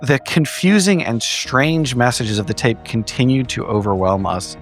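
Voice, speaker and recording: A man's voice: medium pitch, very animated. Man narrating formally over music. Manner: measured, wordy, formal storytelling phrasing. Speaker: player in a tabletop horror roleplaying game. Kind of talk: in-character recap narration for an actual play. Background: background music.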